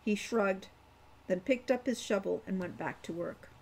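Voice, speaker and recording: A woman in her sixties narrating a fantasy novel in a measured, careful reading style at home. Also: medium pitch, vocal fry, a little mellow, slight background noise. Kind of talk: audiobook-style story narration. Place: room at home.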